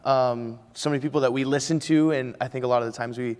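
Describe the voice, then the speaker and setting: Measured man moderating in a hall. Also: medium pitch, fairly even intonation, a little mellow, clean tone. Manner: measured, posing questions with fillers. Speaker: panel moderator. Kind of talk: moderating a panel. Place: large hall stage. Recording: long reverb from a large hall.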